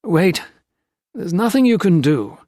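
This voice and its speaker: A man reading a story voices an older priest who speaks quietly and with compassion. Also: high-pitched, sing-song, slow, no echo, studio quality, pitched above the performer's own voice, exaggerated voice. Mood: gentle. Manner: quiet, soft, patient.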